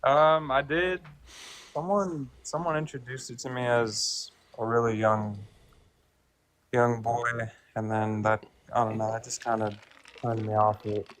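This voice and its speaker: Bright-voiced young man calling in. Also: mid-range voice, flat delivery, a little hiss or hum. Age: 20s. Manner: halting, soft-spoken, many fillers. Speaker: caller. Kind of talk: casual call-in talk.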